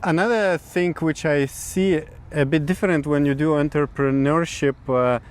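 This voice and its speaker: A Lithuanian-accented man talks outdoors in a city, his voice sitting a touch high for a man. He hesitates a lot and restarts sentences while hunting for the right English words. Traffic can be heard faintly behind him. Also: steady tone, normal speed. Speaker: podcast co-host. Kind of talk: casual conversation.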